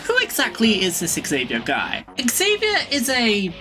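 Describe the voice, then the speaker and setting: A young woman hosting a casual video essay, talking in a lively way and swearing freely. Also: medium pitch, unhurried, over music. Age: young adult.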